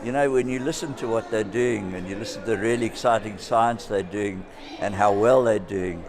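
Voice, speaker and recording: A man speaking in a relaxed, pleased way in an event interview. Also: lowish voice, moderate pace.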